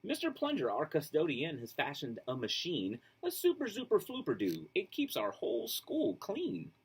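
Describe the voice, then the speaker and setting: Guy doing a kids book read-aloud, really animated, bit husky, bouncy rhyme rhythm, casual asides. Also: a little high, normal speed. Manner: expressive, rhythmic rhyme reading. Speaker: storybook reader.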